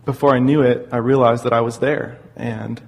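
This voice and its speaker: A man asking an earnest personal question as an audience member, speaking in a hesitant, halting way. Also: medium pitch, flat delivery, gravelly.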